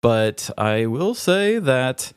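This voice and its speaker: A man's voice: medium pitch, big pitch swings, extremely slow, clear, resonant tone, dry recording, studio quality. A man from Missouri with an American accent, chatting and telling stories casually as a comedic podcast co-host. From Missouri, United States. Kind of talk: casual comedic chat and storytelling.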